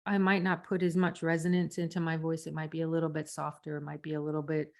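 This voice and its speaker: Female podcast coach, slightly low voice, conversational teaching delivery with demonstrated examples. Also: level tone, fast, vocal fry. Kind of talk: conversational teaching on speaking technique.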